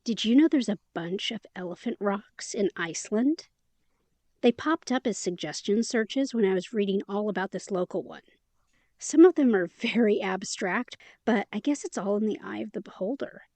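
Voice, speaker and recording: A woman's voice: mid-range voice, animated, normal speed, a little mellow, dry recording, lightly acted. A woman playing a chatty, upbeat young woman in an immersive audio drama, talking in a casual, playful, slangy way.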